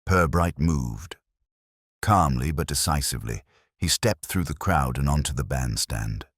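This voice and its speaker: A man reading a mystery story as a scripted audiobook narrator, in a measured, dramatic storytelling manner. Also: extremely low pitch, animated, husky, no echo, studio quality. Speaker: narrator and reader.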